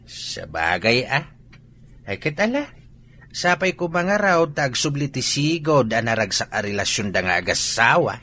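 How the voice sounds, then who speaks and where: An actor playing an emotional, effusive in-law in a radio drama, with an Ilocano accent. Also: male voice, medium pitch, very animated, measured pace, pushed and bright, a little hiss or hum.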